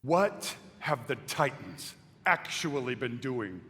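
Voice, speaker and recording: Slow, husky, grave questioner. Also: male voice, mid-range voice, very animated, a bit bright, heavily acted. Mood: grave, questioning. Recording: long hall reverb.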